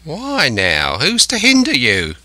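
A storybook castaway pirate, half crazed by solitude. The voice is high, bright and drawn out, swinging about as it rambles in rustic seafaring speech, sly, childlike and wheedling. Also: male voice, wildly swooping pitch, takes it slowly, no room sound, heavily acted. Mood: startled, sly, pleased.

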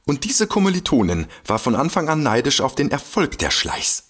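An actor playing an excitable, boastful detective with a husky voice in a comedy mystery audio drama. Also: male voice, medium pitch, normal speed. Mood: proud, explaining. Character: excitable, boastful male detective eager to explain. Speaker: amateur detective.

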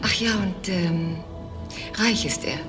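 A woman playing a senior night nurse in a crime radio play, frank and a little hesitant, talking in a thoughtful, halting way. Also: on the low side. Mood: hesitant, confiding. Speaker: actor. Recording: loud music behind the voice.

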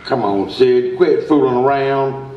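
A man's voice: medium pitch, a little slow, natural voice. A man playing a grumbling, needling rodeo rider with blunt rural talk in a live radio comedy. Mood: grumbling, teasing. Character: grumbling, teasing small-town rodeo rider. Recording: echoey, dull theater recording.

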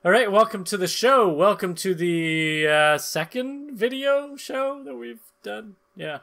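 A man's voice: sits high, lively intonation, unhurried, creaky, fairly bright tone. A man, perhaps in his thirties, hosting a podcast with casual, rambling, jokey chat. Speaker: podcast host and narrator.

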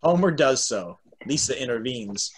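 A man's voice: medium pitch, animated, moderate pace, husky, low-quality recording. A middle-aged man narrating stage directions for an amateur table read on a video call at home. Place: room at home.

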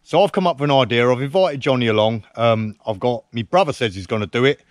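A man's voice: mid-range voice, fast talker. A man talks to a camera set up close by in the woods. He teases a friend and pokes fun at himself in a loose, casual way. The sound is dry, with no room echo. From England. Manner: cheeky, teasing banter with dry self-mockery. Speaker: camping vlogger. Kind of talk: casual outdoor chat to camera. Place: woodland camp outdoors. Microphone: camera mounted close on a tripod.

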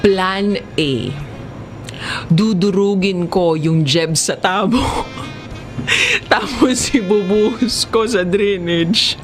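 A woman with a Filipino accent narrating a comedic first-person story in an expressive, giggly, conversational way. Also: mid-range voice, extremely slow, pauses often, music in the background.

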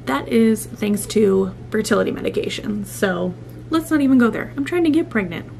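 Female vlogger, thirties, average pitch, offhand and self-deprecating bedroom chat on camera mic with light background hum. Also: lively intonation, normal speed, vocal fry. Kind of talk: casual vlog chat to camera. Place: small bedroom, indoors. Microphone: camera at arm's length or set nearby. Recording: noisy camera audio with room hum.

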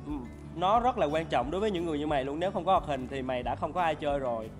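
A man's voice: on the high side, animated, rapid-fire. A man in his twenties with a Southern Vietnamese accent, hosting a talk show in casual, teasing chat. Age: 20s. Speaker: talk show host playing a shop owner. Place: large indoor studio set of an old shop. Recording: music behind the talk.

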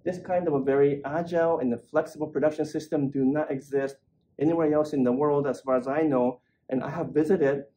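A man's voice: mid-range voice, mellow, a little hiss or hum, low-quality recording. A man in his sixties from Japan, an automotive engineer and channel host, explaining carefully and emphatically to the camera on a lapel mic. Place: outdoors on a leafy street, then indoors at a whiteboard.

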